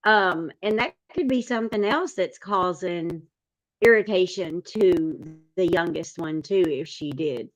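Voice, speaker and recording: A woman's voice: fairly low pitch, measured pace, low-quality recording. A woman panelist talking casually and sympathetically, reading out comments and reacting to them. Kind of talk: casual discussion.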